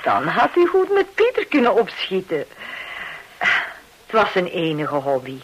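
A woman's voice: fairly low pitch, huge pitch swings, measured pace. A woman playing a polite, matter-of-fact widow in a radio play. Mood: reminiscing. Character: polite, matter-of-fact widow Missus Barclay showing paintings. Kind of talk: Dutch-language radio play acting. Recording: hissy, noisy old radio recording.

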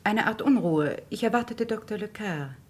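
An actress playing a composed, wistful woman doctor under questioning in a vintage crime radio play, speaking in a measured, restrained way. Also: mid-range voice, expressive, close to an everyday voice. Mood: guarded. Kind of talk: vintage German crime radio drama acting.

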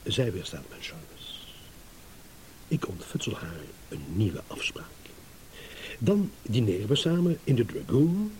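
An actor playing a smug, shrewd, eloquent gentleman in a radio drama, with mock courtesy. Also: fairly low pitch, huge pitch swings, takes it slowly, full character voice, poor audio. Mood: measured storytelling. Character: smug, shrewd gentleman savouring a battle of wits. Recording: hissy old radio play recording.

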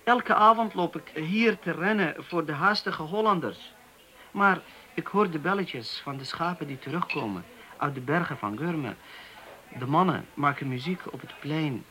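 An actor playing an earnest young Turkish waiter who speaks haltingly, with many hesitations, in a radio play. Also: male voice, on the high side, lively intonation, bright, forceful tone, low-quality recording. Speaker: Turkish waiter in a police interview.

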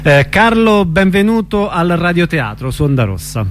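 Male radio presenter, slightly high voice, measured announcing delivery for an interview, light background hum. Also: very animated. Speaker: radio host. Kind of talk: radio interview introduction, reading credits then welcoming guest. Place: radio broadcast.

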